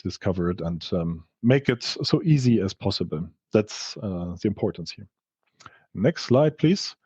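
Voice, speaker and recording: A man who speaks English as a second language gives a technical research presentation in a halting, explanatory way, correcting himself as he goes. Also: medium pitch, warm tone, no echo. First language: not English. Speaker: researcher presenting study results. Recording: slightly dull, dry lo-fi audio.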